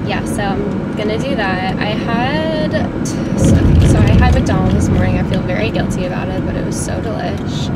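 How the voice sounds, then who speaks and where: A young woman vlogging from behind the wheel in casual, rambling chat, full of fillers and asides. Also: mid-range voice. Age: young adult. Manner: chatty, rambling, lots of "like" and "um"; talking while driving. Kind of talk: casual car vlog chat. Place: inside a car, daytime. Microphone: dashboard camera mic. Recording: distant camera mic with loud road noise. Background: loud road and traffic noise.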